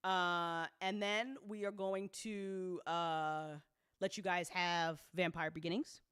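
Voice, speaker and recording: A woman's voice: fairly low pitch, steady tone, slow pace, a bit bright, clear, resonant tone, no echo, studio quality. A woman talking casually and off the cuff as an audio drama producer updating fans, candid, colloquial and full of swearing, emphatic when she makes a point.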